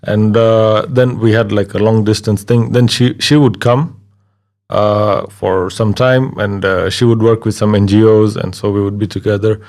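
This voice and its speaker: Low-voiced man from Kenya, relaxed. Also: moderate pace. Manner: relaxed, hesitant with many fillers, laughs easily. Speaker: guest, DJ. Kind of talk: casual interview conversation.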